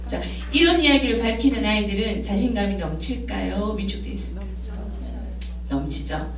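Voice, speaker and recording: Gyeongsang woman lecturing in an echoey hall. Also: medium pitch. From Gyeongsang province, South Korea. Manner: quizzes the audience with quick questions. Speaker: art therapy lecturer. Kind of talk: workshop lecture. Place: workshop room. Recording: echoey room, distant, humming noise.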